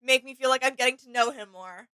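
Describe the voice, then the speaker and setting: A woman co-hosts with informal, agreeable chat. Her voice is high and bright, recorded close and dry. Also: fast talker, clear, resonant tone, dry recording. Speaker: reaction video co-host. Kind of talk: casual chat.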